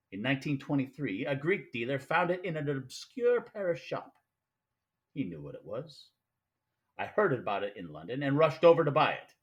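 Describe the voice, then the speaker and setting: An actor playing a jovial, scheming older crime boss in a 1940s style radio play, with grandiloquent, over-polite manners. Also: male voice, medium pitch, close to an everyday voice.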